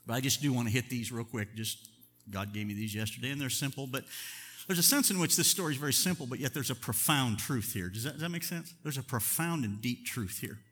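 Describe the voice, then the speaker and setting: A middle-aged man, a pastor, preaching a sermon in an earnest, measured way, slowing down to stress key words. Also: medium pitch, a bit bright, slightly rough. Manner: earnest, measured preaching with deliberate slow emphasis. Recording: long reverb of a large hall.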